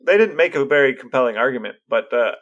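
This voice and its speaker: A man co-hosting a podcast, chatting casually with deadpan, playful humor. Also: mid-range voice, fast, lo-fi sound. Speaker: podcast co-host.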